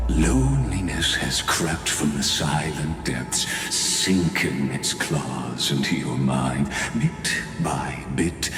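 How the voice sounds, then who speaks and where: An actor playing an ancient, scheming imprisoned villain in a video game, calm and condescending, with theatrical, mocking delivery and dramatic pauses. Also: male voice, extremely low pitch, heavily acted. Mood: menacing, mocking. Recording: echoey long reverb, music underneath.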